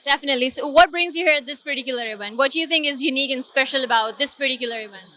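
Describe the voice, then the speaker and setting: Bright-voiced enthusiastic woman reporter. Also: sits high, racing. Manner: enthusiastic, effusive. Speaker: TV event reporter. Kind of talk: red-carpet interview.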